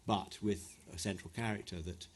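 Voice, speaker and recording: A middle-aged man from West Africa answers an audience's questions at a live literary event. His voice sits a little low, and he talks in a thoughtful, halting way, often circling back to restart a sentence. A faint background hiss runs under him. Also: fairly even intonation. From West Africa (Ghana, Nigeria). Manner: hesitant, thoughtful, many restarts. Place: event venue before an audience.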